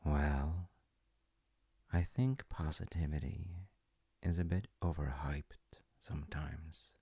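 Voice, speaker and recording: A man voicing a caring, patient father in an audio roleplay. He talks softly and reassuringly, sometimes dropping to a whisper. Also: very deep voice, slightly rough, dry recording, full character voice. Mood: gentle. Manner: soft, reassuring, unhurried, drops to whispers at times. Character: caring middle-aged dad, gentle and patient. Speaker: voice actor.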